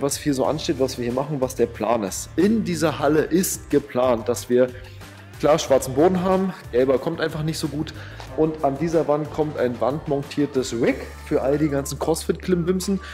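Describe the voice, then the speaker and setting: A man's voice: on the high side, normal speed, darkish tone, soft background music. A man, talking casually and emphatically to a handheld camera indoors. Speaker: vlogger, gym owner and coach. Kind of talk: casual vlog talk to camera. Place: large indoor rooms, empty hall and tiled gym. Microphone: camera held at arm's length.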